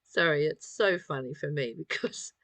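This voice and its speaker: A woman reading a spiritual study text aloud and commenting on it informally, in measured phrases with pauses. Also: sits low, a bit bright, no echo.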